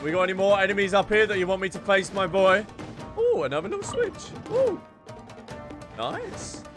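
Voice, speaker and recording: A man's voice: high voice, very animated, moderate pace. A man doing live game commentary as a streamer, playful and exclamatory, often repeating little catchphrases. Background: loud game music.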